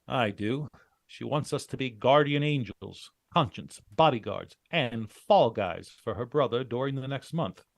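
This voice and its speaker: A man's voice: medium pitch, animated, creaky, dry recording. A man playing a cool, commanding American troubleshooter in a hard-boiled 1940s-style radio drama. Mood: wry. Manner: brisk, wry, hard-boiled.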